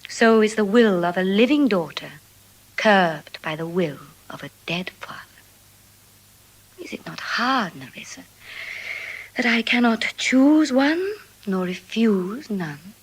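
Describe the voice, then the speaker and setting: An actress with a British accent playing a witty, world-weary young heiress in languid classical Shakespearean stage style. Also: female voice, medium pitch, animated, breathy edge, poor audio. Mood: plaintive. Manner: languid, sighing, deliberate.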